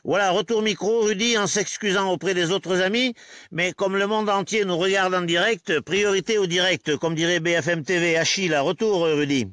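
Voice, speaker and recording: Bright, enthusiastic male radio operator, close and dry. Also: high voice, fairly even intonation, normal speed, smooth, no echo. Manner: enthusiastic, repeats himself. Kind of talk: radio contact chat, addressing a livestream. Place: outdoors in woods at night.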